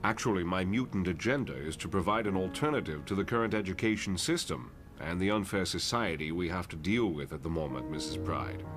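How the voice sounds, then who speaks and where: An actor playing a composed, idealistic professor who lectures in a formal, measured way in a motion comic. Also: male voice, sits low, lively intonation. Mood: measured, persuasive. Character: composed, idealistic male professor leading a mutant school. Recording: loud music behind.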